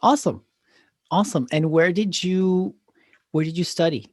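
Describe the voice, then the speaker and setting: A man's voice: a little high, normal speed, no echo, studio quality. A man hosting a local podcast, reading an upbeat intro and asking questions. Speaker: podcast host.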